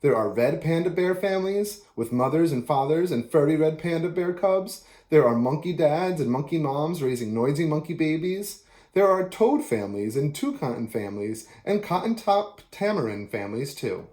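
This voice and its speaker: A man reads a children's picture book aloud in an expressive storytelling manner. His voice is fairly high and warm, with a mellow tone. The recording has a noticeable roomy echo. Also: normal speed. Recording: echoey room.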